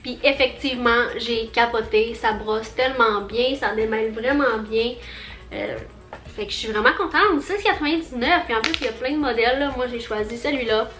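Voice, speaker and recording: Young woman, Quebec French accent, high voice, chatty and bubbly, echoey room, faint music underneath. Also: moderate pace, a bit bright. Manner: chatty, bubbly, rambling with fillers. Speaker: vlogger. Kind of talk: casual haul vlog.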